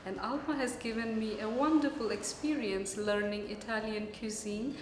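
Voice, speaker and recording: A woman's voice: on the high side, moderate pace. A woman from India, a culinary student giving a fluent, composed interview testimonial. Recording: echoey room with background noise.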